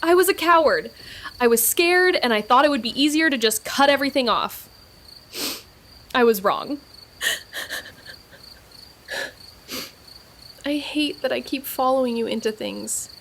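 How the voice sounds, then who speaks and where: A woman playing a guilt-ridden young woman in an audio drama, speaking in an emotional, halting, confessional way. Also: high-pitched, expressive, fairly bright tone, a little hiss or hum. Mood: guilty, weary. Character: Mags, guilt-ridden, anguished young woman, former best friend. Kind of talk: naturalistic audio drama acting.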